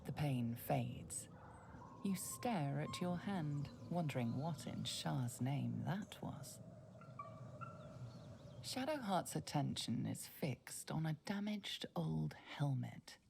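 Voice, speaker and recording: A woman playing a grave, all-knowing narrator of a dark fantasy game, delivering scripted second-person narration slowly and ominously. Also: sits low, expressive, creaky, background noise, full character voice. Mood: grave, intrigued. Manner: slow, deliberate, ominous.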